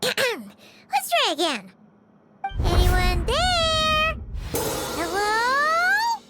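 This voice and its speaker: A woman voicing a tiny, cheerful, childlike fairy companion in a video game, squeaky and exclamatory. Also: wildly swooping pitch, very slow, loud music behind the voice, exaggerated voice. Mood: curious, amazed. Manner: refers to self in third person, exclamatory.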